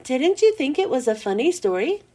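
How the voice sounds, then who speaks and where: A woman voicing a brisk, firm female storybook schoolteacher with an emphatic, questioning way of talking. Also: high voice, sing-song, dark tone. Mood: encouraging.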